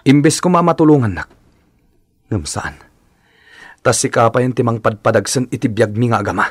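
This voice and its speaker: A man's voice: mid-range voice, animated, moderate pace, husky, no echo. A man playing an aggrieved, resolute husband in a radio drama, firm and reproachful.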